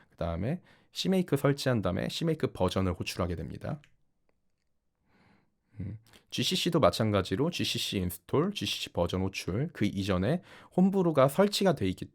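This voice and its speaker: A man narrating a software tutorial as an instructor, explaining step by step in polite, formal speech. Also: medium pitch. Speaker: programming instructor. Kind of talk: tutorial narration over screen demonstration.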